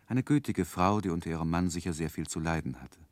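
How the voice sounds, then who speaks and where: An actor playing a grown man who soberly recalls his childhood, narrating a 1960s radio play in a measured, reflective way. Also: low-pitched, no room sound, natural voice. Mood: wistful, reflective.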